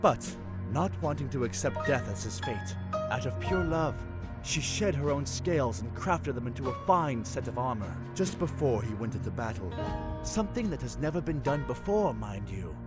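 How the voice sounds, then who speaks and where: A man voicing a tall, excitable young fish-folk prince who tells tales in a grand, theatrical way, in a fan audio drama. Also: medium pitch, normal speed, lightly acted. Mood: dramatic storytelling. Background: music.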